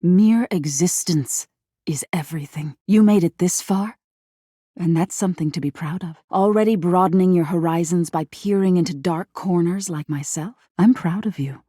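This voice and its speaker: A woman voicing an eerie, thoughtful nightmare spirit in a video game, measured and breathy, often slipping toward a whisper. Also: lowish voice, mellow, no room sound, studio quality. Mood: gently lecturing. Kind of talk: scripted game character acting, intimate second-person storytelling.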